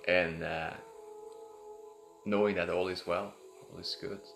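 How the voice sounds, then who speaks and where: A man leading an improvised guided meditation in a halting way, repeating words and drawing out phrases. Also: low-pitched, little pitch movement, fairly bright tone, faint music behind. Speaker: meditation guide, host of a live session. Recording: echoey room, clear background noise.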